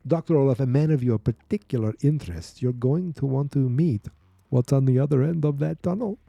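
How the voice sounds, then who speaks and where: Guy doing a shady soviet scientist, fake russian accent, dramatic pauses, very mellow dark tone. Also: mid-range voice, vocal fry, no room sound, pitched above the performer's own voice. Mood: secretive, persuasive. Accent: put-on Russian. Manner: theatrical, pausing at ends of sentences. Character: shady Soviet doctor, grandly secretive, Dracula-like.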